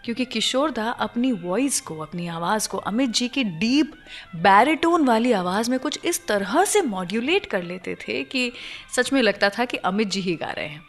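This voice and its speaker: A woman's voice: a little high, animated, moderate pace. A woman with an Indian accent hosting a radio show, telling stories conversationally and addressing listeners directly with emphatic phrasing. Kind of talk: conversational storytelling radio presenting. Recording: music bed behind the voice. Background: background music.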